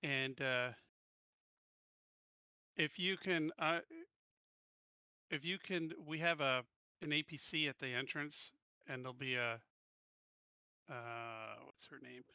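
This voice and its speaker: A man's voice: mid-range voice, slow, pauses often, bright, forceful tone, smooth, no echo, highs cut off. A man chatting casually as a player in a tabletop roleplaying game.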